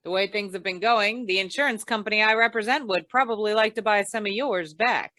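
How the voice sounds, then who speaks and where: A woman playing a wry, shrewd hard-boiled insurance investigator in deadpan 1940s radio noir style. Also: mid-range voice, a little fast, no echo, lightly acted. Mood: wry, probing.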